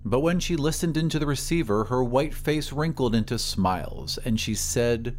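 Expressive male storyteller with music behind. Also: mid-range voice, normal speed. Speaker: podcast host and narrator. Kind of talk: dramatic scripted narration.

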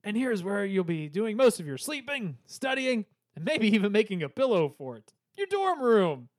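A man's voice: high-pitched, big pitch swings, moderate pace, heavy background noise. A man playing an earnest, sentimental older ex-policeman who works as a school official in a comedy cartoon, speaking in a wordy, dramatic way. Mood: genial, welcoming.

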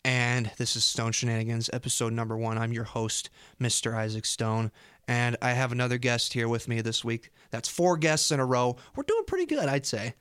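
A man's voice: mid-range voice, lively intonation, moderate pace, fairly bright tone, clean tone, dry recording. A young man hosting a casual radio chat in an upbeat, effusive way. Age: young adult. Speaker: college radio show host. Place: radio studio. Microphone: close studio microphone.

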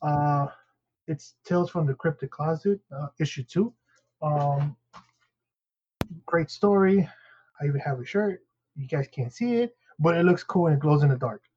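A man's voice: on the high side, animated, moderate pace, long pauses, darkish tone, breathy edge. A man co-hosting a podcast in casual chat, rambling with many fillers and restarts, English not his first language. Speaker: podcast co-host. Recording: dull lo-fi sound.

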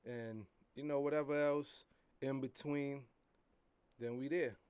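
A man's voice: mid-range voice, steady tone, unhurried, a little mellow, smooth, dry recording. A man from New York, a rap artist talking about his tour in a loose, easygoing way with many fillers. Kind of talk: casual interview chat.

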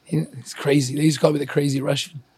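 Husky-voiced man hosting a chat. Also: on the high side, little pitch movement, fast talker, a little mellow, no echo. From Ukraine. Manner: candid, reflective, swears casually. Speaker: podcast host. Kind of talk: casual interview chat.

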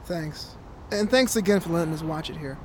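Actor as high-voiced, apologetic young man. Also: moderate pace, slight background noise, lightly acted. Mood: subdued, weary. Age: young adult. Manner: hesitant, apologetic. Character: weary, apologetic young man fed up with his life. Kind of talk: audio drama acting.

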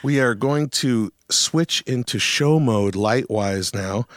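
A man's voice: medium pitch, slow, no echo, studio quality. A man co-hosting a show with casual, joking, easygoing banter. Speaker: co-host, producer. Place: music studio.

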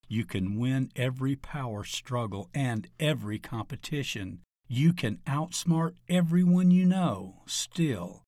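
A man narrating a scripted question-and-answer article, reading deliberately and announcing each part as an article reader. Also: fairly low pitch, a little mellow, no echo, clean studio sound.

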